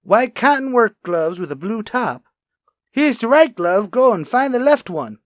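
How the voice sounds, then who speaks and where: A man playing a wry, chatty police lab technician in a radio crime drama, brisk and casual. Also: extremely high pitch, big pitch swings, dry recording, studio quality, full character voice. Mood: sarcastic. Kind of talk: old-time radio crime drama acting.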